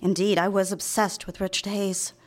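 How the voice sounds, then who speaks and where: Actress as demure young secretary, quietly obsessed. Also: female voice, medium pitch, flat delivery, normal speed, lightly acted. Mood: confessional, obsessed. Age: young adult. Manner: polite, confessional, period-styled diction. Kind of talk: live radio drama with first-person narration.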